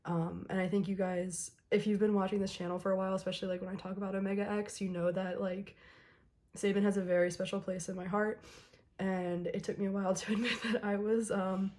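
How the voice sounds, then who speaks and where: Hoarse young woman chatting excitedly. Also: mid-range voice, fairly quick. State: hoarse, recovering from illness. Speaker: K-pop album unboxing vlogger. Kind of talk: casual chat to camera.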